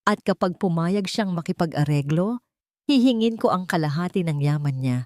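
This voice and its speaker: A woman's voice: on the low side, expressive, normal speed, darkish tone, no room sound, clean studio sound, natural voice. A woman playing a smug, scheming rich woman. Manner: smug, arrogant, mixing Tagalog and English. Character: scheming, greedy, bitter young socialite woman.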